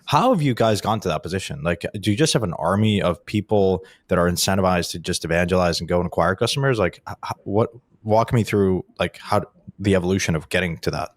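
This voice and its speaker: Male podcast host, very low voice, loose rambling questions, dry and close. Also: quick pace, no echo. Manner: rambling questions with restarts and many "like"s.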